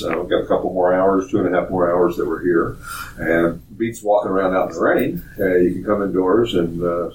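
A hoarse man with a raspy voice from a cold, hosting a casual, rambling car podcast in a studio. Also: sits low, reverberant, far from the mic, a little hiss or hum, rough recording. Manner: rambling, chuckling, with many fillers. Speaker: podcast host at a classic car dealership. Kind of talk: casual chat.

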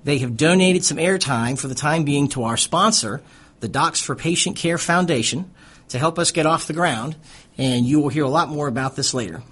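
A man's voice: on the high side, moderate pace, a bit bright, breathy edge. A middle-aged American man from Pittsburgh co-hosting a radio talk show, speaking fluently and articulately in a conversational style. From Pittsburgh, USA. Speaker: radio co-host, physician.

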